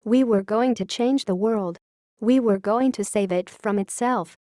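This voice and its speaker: A woman playing a wistful, grave founding scientist who confesses a secret history in a measured, literary audio-log monologue. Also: medium pitch, warm tone, no room sound, clean studio sound, lightly acted. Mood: reflective, grave.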